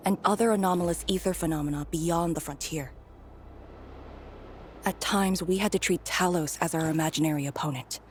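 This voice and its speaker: A woman playing a battle-hardened female soldier in a video game, with a grave, measured and earnest delivery. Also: deep voice, a little mellow, a little hiss or hum, lightly acted.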